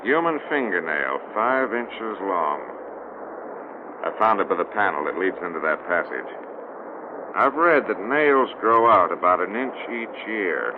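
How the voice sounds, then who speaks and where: A very noisy, narrow old radio broadcast carries a gruff male investigator. His voice is low, and he talks in terse, folksy phrases, bossing people about as in a vintage horror drama. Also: big pitch swings, unhurried. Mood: grave, explaining. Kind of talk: 1940s radio horror acting.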